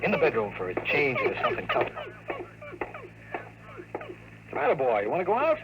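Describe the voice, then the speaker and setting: Wisecracking American radio private eye. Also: male voice, high-pitched, huge pitch swings, fairly quick, heavy background noise, exaggerated voice. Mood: playful with dog. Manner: sardonic, flippant. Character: wisecracking hard-boiled bachelor private detective. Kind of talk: 1950s radio drama acting, first-person noir narration. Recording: vintage radio recording with music.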